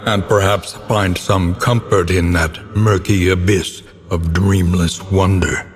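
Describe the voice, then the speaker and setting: A man playing a grim, solemn narrator of dark gothic game tales, speaking in theatrical, ornate phrasing. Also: low voice. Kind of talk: dramatic scripted gothic narration. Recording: long reverberant tail, clear background noise.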